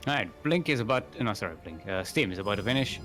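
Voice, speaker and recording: Lively, halting male esports caster. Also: on the low side, soft background music. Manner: halting, many fillers, lively play-by-play. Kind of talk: live game commentary.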